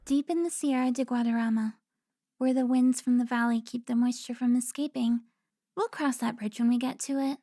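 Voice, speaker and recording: A woman's voice: fairly high pitch, steady tone, brisk, mellow, no echo, studio quality. A woman playing a sly, seductive female vampire informant who teases and taunts in a cinematic audio roleplay. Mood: brisk, wry.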